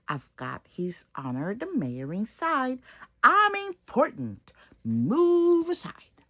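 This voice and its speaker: A woman voicing a pompous, boastful male limousine in a storybook, talking loud and proud. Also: low-pitched, big pitch swings, takes it slowly, creaky, slightly rough, exaggerated voice.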